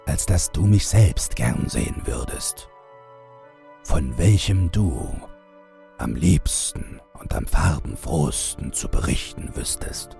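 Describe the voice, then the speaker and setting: Male narrator as gentle father in a horror tale, very deep, slow breathy hush. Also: animated, soft background music, heavily acted. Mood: tender, solemn. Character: bearded father speaking tenderly to his little daughter.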